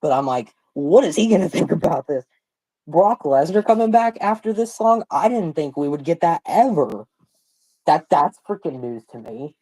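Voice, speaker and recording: A young man hosting a live watch party stream, talking excitedly with lots of slang and exclamations, his voice hoarse and cracking from a sore throat. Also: a little high, normal speed, low-quality recording. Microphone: phone.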